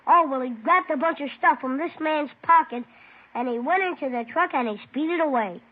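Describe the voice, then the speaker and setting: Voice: high-pitched, moderate pace, natural voice. A performer playing an earnest six-year-old boy witness in a 1950s radio drama, talking in an artless, childlike way. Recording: tinny old-time radio recording.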